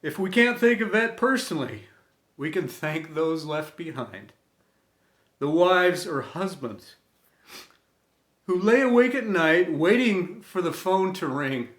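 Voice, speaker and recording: A middle-aged American man reading a scripted inspirational tribute in an earnest, reverent way, with deliberate phrasing and dramatic pauses. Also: on the high side, a bit bright. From United States. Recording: echoey room sound.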